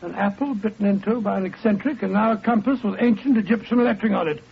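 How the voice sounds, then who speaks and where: Bluff middle-aged English companion, high voice, baffled and blustering, 1940s radio mystery, dull recording with light noise. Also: male voice, fast talker, creaky. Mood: baffled, indignant.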